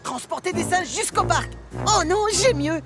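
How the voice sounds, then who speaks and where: Very high, cheery engine. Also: female voice, lively intonation, normal speed. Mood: playful, eager. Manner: eager, playful. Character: cheery, teasing young steam engine. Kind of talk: dubbed children's cartoon acting. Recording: music behind the voice.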